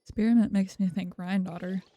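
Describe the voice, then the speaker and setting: A woman's voice: mid-range voice, fairly even intonation, a little fast, dark tone. A woman giving casual game playthrough commentary, muttering offhand reactions to herself. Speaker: game streamer. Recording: game music behind the voice.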